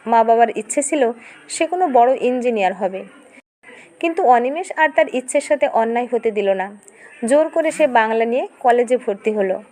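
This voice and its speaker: A woman reading a scripted dramatic story as narrator, in an expressive, emotive storytelling manner. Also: high-pitched.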